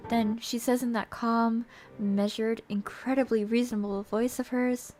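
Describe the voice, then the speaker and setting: Woman, fairly high voice, theatrical visual novel narration, expressive, playful, faint background music. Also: unhurried. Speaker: streamer reading a game aloud. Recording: music behind the voice.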